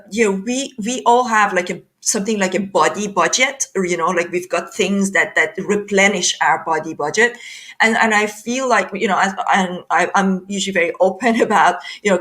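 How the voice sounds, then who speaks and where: A woman's voice: on the low side, fairly bright tone. A woman from Iran hosting a group video call, talking loosely with many fillers and restarts. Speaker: host. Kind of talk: casual video call discussion.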